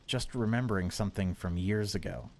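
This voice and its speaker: A man's voice: on the low side, a little fast, slight background noise, close to an everyday voice. A man voicing an uneasy woman, the haunted protagonist of a horror game, hesitant and tense. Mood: nervous.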